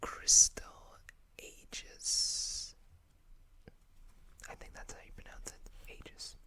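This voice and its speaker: An ASMR host speaking in a breathy, unvoiced whisper close to the microphone, unhurriedly reading text aloud with casual asides. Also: slow, pushed and bright.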